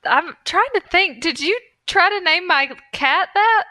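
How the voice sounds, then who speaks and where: A woman's voice: extremely high pitch, animated, measured pace, pushed and bright, no echo, clean studio sound. A woman co-hosting a podcast in casual, conversational chat. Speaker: podcast co-host.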